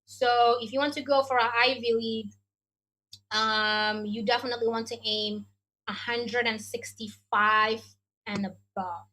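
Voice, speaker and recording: A woman's voice: on the high side, fairly even intonation, a little slow, sharp tone. A woman from Indonesia with an Indonesian accent, an education adviser answering questions in an online Q&A over a video call, chatty and explanatory with lots of "you know" and "like".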